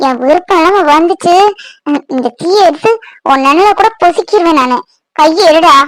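An actress playing a bitter, tearful young bride in a serial, scolding curtly. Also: female voice, very high-pitched, normal speed, no room sound, poor audio. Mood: threatening. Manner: scolding, curt, emotional. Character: bitter, tearful young bride who scorns her husband. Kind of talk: Tamil TV serial acting.

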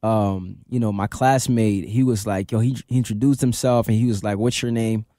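A man's voice: on the low side, steady tone, normal speed, warm tone, no room sound. A young man from Ghana, raised in Amsterdam, talking loosely with lots of fillers as a guest on a radio interview, close to a studio microphone. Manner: laid-back, many fillers, laughs easily. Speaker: guest rapper. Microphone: studio mic on boom arm.

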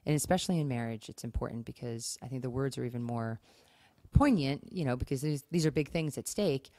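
A woman's voice: a bass voice, darkish tone, no room sound, clean studio sound. A woman co-hosting a conversational podcast, speaking emphatically and acting out example phrases. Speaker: podcast co-host. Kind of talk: conversational talk on marriage advice.

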